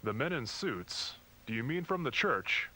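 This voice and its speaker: A man voices a puppet priest from a mock religious children's show. He is gentle, fatherly and preachy, explaining things down to children in a very animated, mid-pitched voice with a faintly sinister undertone. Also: measured pace, full character voice, low-quality recording. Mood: friendly, cautioning. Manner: patronizing, instructive.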